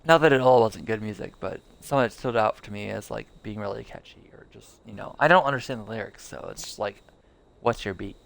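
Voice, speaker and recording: A young man chatting over his gameplay in a loose, rambling way, full of fillers and restarts. His voice has a gravelly edge but stays smooth and clear underneath. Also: fairly low pitch, fairly quick, a bit bright. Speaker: streamer. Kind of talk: casual live gameplay commentary.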